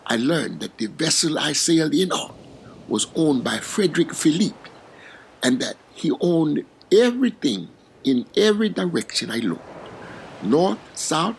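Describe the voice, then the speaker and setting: A man playing an enslaved African boatman who recounts his capture in a slow, formal, solemn monologue. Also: on the high side, sing-song, gravelly, a little hiss or hum, full character voice, low-quality recording. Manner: slow, measured, formal speech with grave pauses. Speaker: narrator in character. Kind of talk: dramatic first-person monologue.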